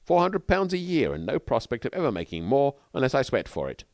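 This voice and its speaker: A man's voice: medium pitch, wildly swooping pitch, brisk, a little mellow, no echo, clean studio sound, voice pushed up. A man voicing an earnest, eager, slightly dim young English lord who speaks in posh old-fashioned slang. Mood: amiable, earnest.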